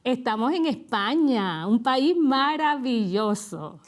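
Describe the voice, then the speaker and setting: A woman's voice: on the high side, takes it slowly. Puerto Rican woman sharing a testimony. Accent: Puerto Rican Spanish. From Puerto Rico. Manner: relaxed storytelling with light laughs. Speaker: co-host and guest. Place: TV studio.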